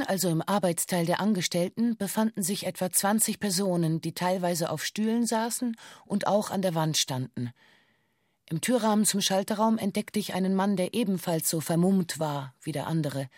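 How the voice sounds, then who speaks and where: Actress doing a bank clerk woman giving a stiff exact witness statement, radio play, lowish voice, very dry sound. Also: moderate pace, a little mellow, dry recording, natural voice. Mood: sober, factual. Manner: formal, matter-of-fact, precise. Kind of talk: scripted radio play monologue in stiff police-statement language.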